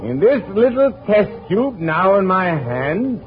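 A man playing a grave, urgent scientist in an old-time radio drama, speaking with measured dramatic intensity. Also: high voice, very animated, full character voice. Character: grave, urgent scientist warning of deadly germs. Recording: dull old radio recording, faint noise.

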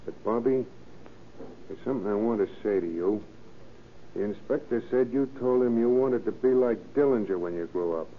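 A man's voice: on the low side, moderate pace, natural voice. An actor playing a rueful burglar father in a 1930s radio drama, earnest and slangy, with an American accent. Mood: regretful, earnest. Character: rueful jailbound burglar father warning his young son. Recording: muffled, faintly noisy 1930s radio recording.

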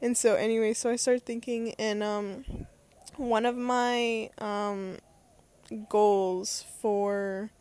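A woman's voice: mid-range voice, steady tone, takes it slowly, darkish tone, clear, resonant tone. A young adult woman talking in a casual, unscripted monologue as a podcast host, rambling and hesitant as she thinks aloud.

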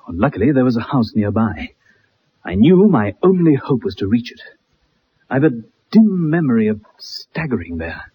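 A young ex-soldier, bitter and haunted, telling his tale dramatically. His voice is very rough and breathy, with big swings in pitch. It comes through a muffled old radio drama recording. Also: very animated, normal speed. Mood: grave, reminiscing. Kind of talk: 1960s radio drama acting.

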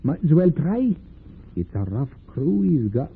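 A man's voice: fairly high pitch, big pitch swings, a little slow, gravelly, husky, full character voice. An actor playing a kindly but firm middle-aged Quaker father in old-time radio drama, using plain thee-and-thou speech. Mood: earnest. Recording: muffled, noisy old radio recording.

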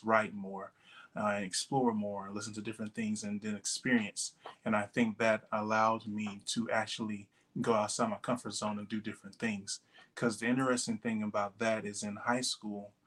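A man in his late twenties from Texas, a podcast guest talking in a relaxed, rambling way with many fillers. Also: on the low side. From Texas, USA. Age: 20s. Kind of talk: casual interview talk.

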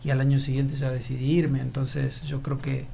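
A man's voice: mid-range voice, monotone, moderate pace, creaky, dark tone, a little hiss or hum. A man from Argentina with an Argentine accent, an actor answering interview questions in a reflective, rambling way with many restarts. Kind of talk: casual interview conversation.

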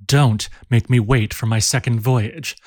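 A man's voice: mid-range voice, steady tone, measured pace, darkish tone, no room sound, natural voice. A man playing a passionate young man who pleads with the woman he loves, staying close to his own reading voice. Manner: pleading, intense. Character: passionate young man, eager sailor lover.

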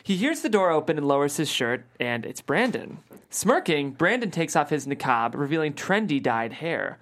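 Female stage directions reader for comedy table read: deep-set pitch, lively, varied narration. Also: normal speed.

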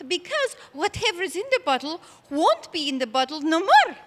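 Very shrill, fretful comic sidekick. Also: female voice, sing-song, normal speed. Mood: pleading panic. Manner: broken, stilted English with pleading exclamations. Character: shrill, fretful, foreign-sounding sidekick, fawning and scolding. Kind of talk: comic radio theatre acting. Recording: hall reverb, faint noise, live stage.

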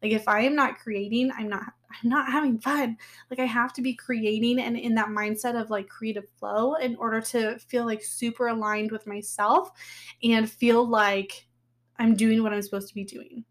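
A woman in her thirties hosting her own podcast, talking candidly and casually with a slightly hoarse voice, often laughing and using fillers. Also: fairly high pitch, fairly even intonation, moderate pace. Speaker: podcast host. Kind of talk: casual personal podcast talk.

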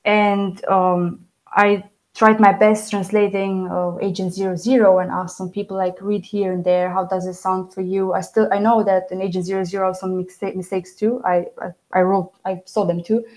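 Mellow-voiced woman, non-native English speaker. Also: medium pitch, flat delivery, poor audio. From Germany. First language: Romanian. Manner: halting, restarts and self-corrections. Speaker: interview guest, novelist. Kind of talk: casual interview talk.

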